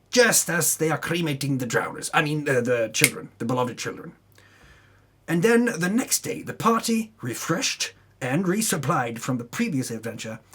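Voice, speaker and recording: A man with a husky voice doing a theatrical, tongue-in-cheek dramatic reading of a tabletop campaign recap, with wry asides. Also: on the high side, a bit bright.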